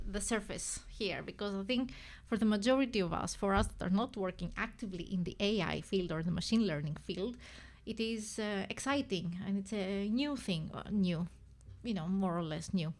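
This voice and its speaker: A woman's voice: medium pitch, normal speed, few pauses. Greek woman rambling on a live stream. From Greece. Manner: rambling, self-correcting, thinking aloud. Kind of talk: casual live-stream chat.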